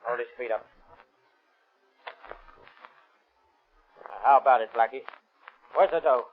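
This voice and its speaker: Male gangster played by a man, cocky and ruthless, rapid clipped slang, tinny old-radio sound. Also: mid-range voice, close to an everyday voice. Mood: coolly threatening. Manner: clipped tough-guy slang. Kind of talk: 1930s radio crime drama acting. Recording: tinny, band-limited 1930s radio recording.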